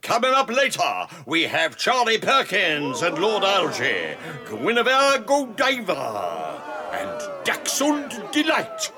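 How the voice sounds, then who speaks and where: An actor playing a flamboyant Victorian music hall master of ceremonies in an audio drama, announcing in florid, theatrical style over a crowd. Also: male voice, high-pitched, huge pitch swings, slow pace, heavily acted. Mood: grandiose, showy.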